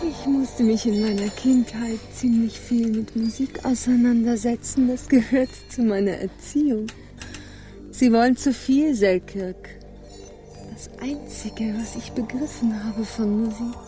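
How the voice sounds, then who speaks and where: An actress plays a composed, courteous, world-weary lady in a German radio drama. She speaks slowly and formally in a fairly high but mellow voice. Soft music sits behind her. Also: natural voice. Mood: reflective. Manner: measured, formal.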